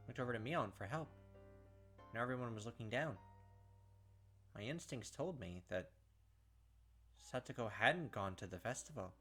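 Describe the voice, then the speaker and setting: A young man narrating a story game for his channel, reading each line and slipping in casual remarks. His voice sits in an ordinary middle range. Soft game music plays underneath. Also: mid-range voice, animated, normal speed, long pauses, slightly rough. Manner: casual, filler-heavy commentary. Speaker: gaming video host. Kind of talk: reading game text aloud with casual asides.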